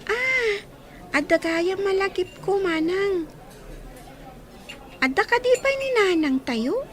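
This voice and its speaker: Inquisitive Ilocano youngest sister, tiny very high voice, radio drama. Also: female voice, lively intonation, slow, fairly bright tone, exaggerated voice. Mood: curious.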